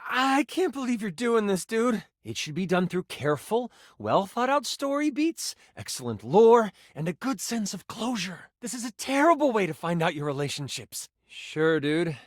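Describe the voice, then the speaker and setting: An actor playing an excitable, theatrical nerdy game master in a video game, moving between grand narration and casual asides. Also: male voice, high-pitched, normal speed, few pauses, no echo, clean studio sound. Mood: exasperated. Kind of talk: video game character voice lines, dramatic tabletop narration.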